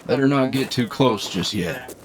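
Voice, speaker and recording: A man's voice: mid-range voice, moderate pace, background noise, lightly acted. A man playing a laconic, dry-witted fighter in a fantasy audio drama. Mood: alert, unhurried. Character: laid-back, dry-witted male fighter of a fantasy crew. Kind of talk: fantasy audio roleplay acting. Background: music.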